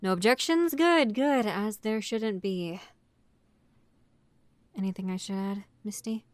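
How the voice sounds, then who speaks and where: A woman acts out a young vampire college housemate in a roleplay audio drama. She has a medium-pitched female voice and sounds bossy and a little exasperated. Her delivery is organized and matter-of-fact, as if running a house meeting. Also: slow, dry recording, natural voice. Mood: organized, considerate.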